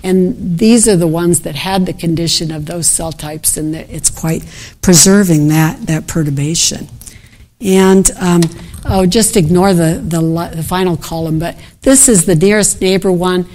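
Slow-talking woman giving a conference keynote. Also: on the low side, mellow. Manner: unscripted, restarts sentences, thinks aloud over slides. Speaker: keynote speaker. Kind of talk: academic conference talk.

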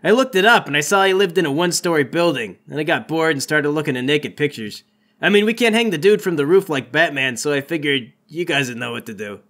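A man's voice: on the high side, expressive, quick pace, fairly bright tone. A man playing a laid-back, casually generous rich dude with breezy, slangy talk in improvised comedic roleplay. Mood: upbeat.

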